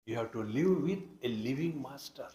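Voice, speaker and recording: A man's voice: medium pitch, expressive, moderate pace, dark tone. An echoing, roomy recording carries a man's mid-range voice with an Indian accent. He speaks as a swami teaching scripture, conversational in tone, leaning on and stretching key phrases for emphasis. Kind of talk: spiritual discourse expounding scripture. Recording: roomy, echoing hall sound.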